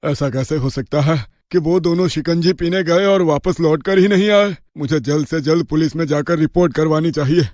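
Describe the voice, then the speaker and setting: A man's voice: on the high side, moderate pace, few pauses, darkish tone, no echo, studio quality, lightly acted. An actor playing a worried, indignant village father in a cartoon story, anxious in manner.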